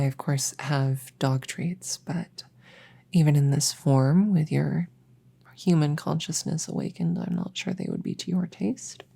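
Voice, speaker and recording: A woman plays a reassuring witch veterinarian in a soft ASMR roleplay. Her voice is low and mellow with a husky edge. She talks in a soothing, slightly hesitant bedside manner, gently chatting to a nervous patient. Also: full character voice.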